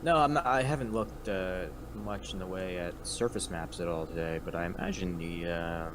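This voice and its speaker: Deep-voiced young man, noisy line. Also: normal speed. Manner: relaxed, talks through weather maps with fillers. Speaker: podcast co-host. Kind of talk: casual chat. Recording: noticeable background noise.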